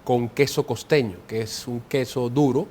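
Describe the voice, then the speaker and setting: A man's voice: mid-range voice, fairly even intonation, moderate pace, darkish tone, slight background noise. A man in his forties with a Colombian Caribbean coastal accent, a guest in an interview who explains things conversationally and often repeats words while he looks for the next one. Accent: Colombian Caribbean coastal Spanish. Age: 40s.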